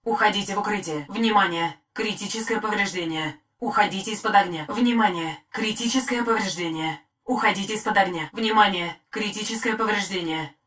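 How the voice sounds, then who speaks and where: Composed game war-machine AI played by a woman, female, medium pitch, crisp scripted battle reports. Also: brisk, few pauses, fairly bright tone, natural voice. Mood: alert, urgent. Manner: crisp, formal military reporting. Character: female combat robot titan AI, loyal, composed. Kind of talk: short scripted combat callouts.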